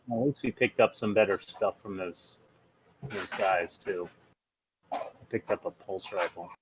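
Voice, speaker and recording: A man with a somewhat low voice plays a tabletop role-playing game. He talks in a casual, conversational way. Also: measured pace, a little hiss or hum, rough recording.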